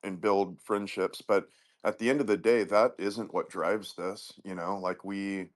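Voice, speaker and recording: Low-voiced, agreeable man. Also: steady tone. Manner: agreeable, quick to affirm. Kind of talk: casual podcast chat.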